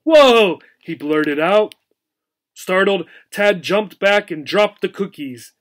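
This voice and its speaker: A man narrating a children's story as an audiobook reader, in an expressive storytelling manner. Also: sits high, takes it slowly.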